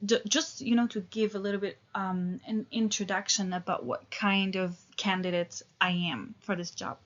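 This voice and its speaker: A woman in her thirties teaching an English lesson, talking in a relaxed, hesitant way with many fillers and restarts. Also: mid-range voice, normal speed, highs cut off. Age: 30s.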